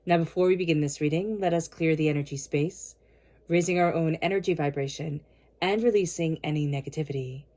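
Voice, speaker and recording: A woman with a cold giving a tarot reading, speaking earnestly and slowly repeating her invocations. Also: deep voice, a little mellow. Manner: soothing guided meditation. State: has a cold. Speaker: tarot reader.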